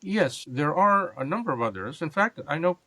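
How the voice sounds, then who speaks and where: An American man giving reflective interview answers in a measured, halting, explanatory way. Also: a little high, animated, bright, forceful tone, no echo. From Japan and California. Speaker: interview guest, government and business leader.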